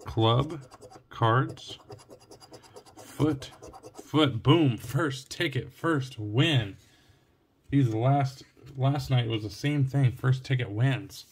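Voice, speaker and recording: Tired man with a gravelly late-night voice. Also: drawn-out words. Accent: Oregon US English. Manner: reads symbols aloud in a low, tired murmur. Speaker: scratch-ticket channel host. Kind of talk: casual narration while scratching tickets. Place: at home, at a table at night. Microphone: phone or camera looking down at the table. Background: coin scratching and clicks.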